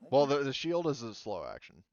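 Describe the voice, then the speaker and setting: A man's voice: medium pitch, wildly swooping pitch, fairly quick, no echo, muffled recording. A man running a tabletop roleplaying game as game master, casually explaining rules and thinking aloud as he looks things up.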